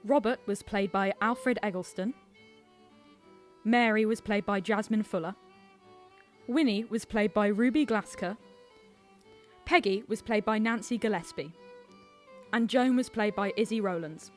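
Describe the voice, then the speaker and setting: A woman's voice: medium pitch, a little slow, pauses often. A woman giving a scripted announcement of closing credits. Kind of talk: scripted narration. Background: string music.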